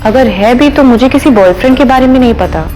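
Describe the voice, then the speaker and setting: An actress playing an earnest young college student in a TV crime drama. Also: female voice, high-pitched, quick pace, lightly acted, low-quality recording. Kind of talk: TV crime serial acting. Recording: dramatic music behind the voice.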